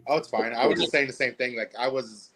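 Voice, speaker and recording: A man on a video call as a panel guest, soft-spoken, earnest and rambling. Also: mid-range voice, quick pace, crowd noise, poor audio. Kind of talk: casual chat.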